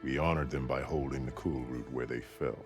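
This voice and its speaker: An extremely low-voiced war chief, speaks with solemn authority and ceremony. It is video game drama, with quiet music behind him. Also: male voice, fairly even intonation, brisk. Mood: solemn, commanding. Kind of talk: video game cutscene acting.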